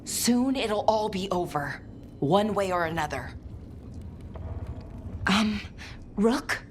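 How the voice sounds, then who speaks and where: Earnest, hesitant young mage, ambience behind. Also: female voice, medium pitch, expressive, slow, a little hiss or hum. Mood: anxious, earnest. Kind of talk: acted game dialogue. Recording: game audio with clear background ambience.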